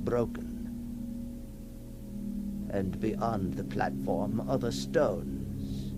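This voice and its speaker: A man playing a tormented, awestruck figure emerging from long confinement in a theatrical 1960s radio horror monologue, halting and breathless, repeating his words. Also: lowish voice, heavily acted. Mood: bewildered wonder. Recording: noisy old 1960s radio recording.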